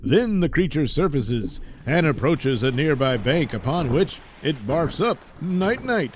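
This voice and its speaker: A man's voice: on the high side, big pitch swings, a little slow. A man narrating a scripted dramatic serial as storyteller, with light noise in the recording.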